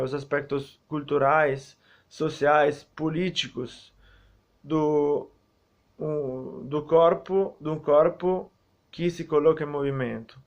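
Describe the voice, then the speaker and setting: A man's voice: fairly high pitch, gravelly. A young Brazilian man with a Brazilian Portuguese accent, giving a recorded academic talk on physical education and health, hesitant, with many fillers and restarts. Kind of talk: recorded talk for a student group. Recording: dull lo-fi recording.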